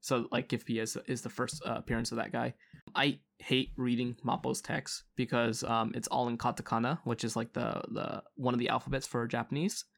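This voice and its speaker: A man's voice: mid-range voice, little pitch movement, a little fast, gravelly, fairly bright tone. A man co-hosting a podcast in casual chat, hesitant with many fillers and restarts. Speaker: podcast co-host.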